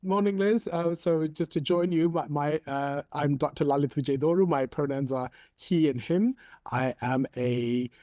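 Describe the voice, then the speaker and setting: A man in his forties, raised in Hong Kong, speaking as an interview guest in a measured, thoughtful way with frequent ums. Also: fairly high pitch, warm tone, no echo, studio quality. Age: 40s. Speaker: interview guest, storytelling coach. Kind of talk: conversational interview.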